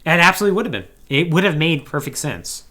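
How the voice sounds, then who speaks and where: A man's voice: a little high, fast talker. A man hosting a podcast, giving a casual, conversational recap of a story. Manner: animated, conversational, acting out paraphrased dialogue. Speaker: podcast host.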